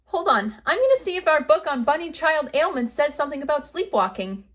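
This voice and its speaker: A woman voicing a caring, practical bunny mother in a children's storybook, in a calm manner. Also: high voice, a little fast.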